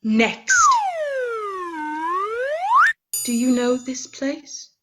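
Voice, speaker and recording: An actress playing a ghostly guide who is also a young woman named Belle, speaking in a calm, measured, gently reproachful way in a radio play. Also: a little high, soft background music. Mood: solemn.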